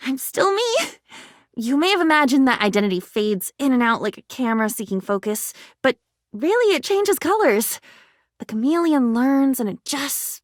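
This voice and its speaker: A woman's voice: high-pitched, no echo, clean studio sound. A woman voicing an earnest, naive and devoted living diary in a video game, effusive and quaintly formal in her theatrical way of talking. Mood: bubbly. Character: newly self-aware diary come to life, eager, naive, devoted friend. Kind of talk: video game character acting.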